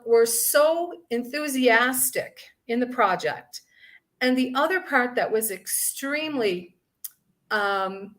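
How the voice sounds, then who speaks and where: A middle-aged woman from Canada giving an earnest conference speech, with many ums and pauses between phrases. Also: fairly high pitch, fairly bright tone. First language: English. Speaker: guest speaker.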